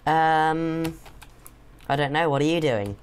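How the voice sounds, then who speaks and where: A young man with a mildly croaky voice from a sore throat, bantering casually with his dad during a game. He teases and hesitates, filling gaps with ums, and speaks into a home gaming mic. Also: fairly high pitch, slow pace, heavy background noise. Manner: chatty, teasing, hesitant with frequent ums. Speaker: co-host playing a game with his father.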